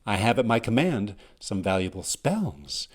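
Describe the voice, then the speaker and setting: A man's voice: fairly low pitch, big pitch swings, measured pace, husky, heavily acted. A pompous old magical amulet voiced by a male storyteller lectures in formal, old-fashioned phrases. Its delivery swings up and down with affronted dignity, in a storybook manner. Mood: condescending. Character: Mim, elderly pompous talking amulet, easily affronted.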